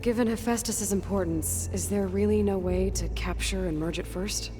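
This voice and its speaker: Game heroine, female actor: young woman warrior, determined, blunt, plain-spoken questioner. Hall-like reverb, clear music underneath. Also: mid-range voice, normal speed, lightly acted. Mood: probing, determined. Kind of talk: scripted video game dialogue.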